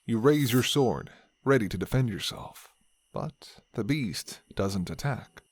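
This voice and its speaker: A man narrating a scripted fantasy story in the second person, with measured, dramatic storytelling. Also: mid-range voice, animated, husky, dry recording.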